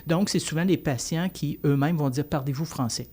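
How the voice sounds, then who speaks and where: A man's voice: a little high, normal speed, dark tone. A man, a podcast guest earnestly explaining at length and restarting his phrases often. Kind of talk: podcast panel discussion.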